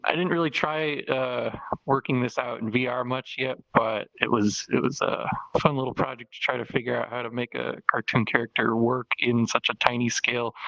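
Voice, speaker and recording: Man, gravelly voice, dull lo-fi sound, halting casual show-and-tell. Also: medium pitch, fairly bright tone, dry recording. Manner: hesitant, many fillers and restarts. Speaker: avatar creator presenting his work. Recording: dull lo-fi voice chat audio.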